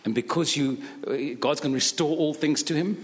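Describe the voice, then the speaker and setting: A man preaching in short phrases to a church, pausing for an interpreter. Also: fairly high pitch. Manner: speaks in short phrases, broken German asides. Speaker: guest preacher. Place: church hall. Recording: long hall reverb.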